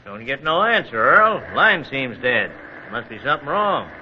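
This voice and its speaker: A worried village storekeeper in an old radio play. He talks tersely and plainly in a husky mid-pitched voice, on a muffled recording. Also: big pitch swings, moderate pace. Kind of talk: 1940s radio drama acting.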